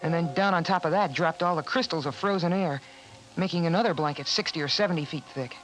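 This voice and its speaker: A male actor playing an earnest, excitable boy in a 1950s post-apocalyptic radio drama. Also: on the high side, fast, lightly acted, low-quality recording. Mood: reflective, narrating. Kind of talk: 1950s radio drama acting, with first-person narration. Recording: vintage 1950s radio recording, music behind.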